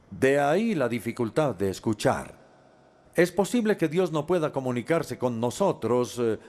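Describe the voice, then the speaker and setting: Lively, halting male Bible teacher. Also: mid-range voice. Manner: measured, with frequent hesitant fillers. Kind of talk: dubbed teaching talk.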